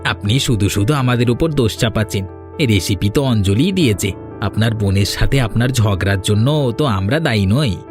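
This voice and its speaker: Guy doing a shifty merchant sidekick in a cartoon, mid-pitched, defensive, soft music. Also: expressive, moderate pace, natural voice. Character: merchant's accomplice man, evasive and defensive.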